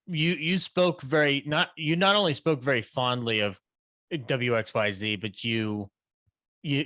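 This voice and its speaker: Bright-voiced man, hesitant interviewer. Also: mid-range voice, no echo. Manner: hesitant, deliberate, polite. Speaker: interviewer, convention panelist. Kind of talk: casual interview chat. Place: remote video call.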